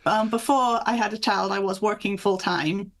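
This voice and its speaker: A woman's voice: medium pitch, fairly even intonation, moderate pace. A woman from the north of England and Michigan, chatting in an anecdotal, conversational way as an author being interviewed. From north of England and Michigan, USA. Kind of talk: casual interview chat.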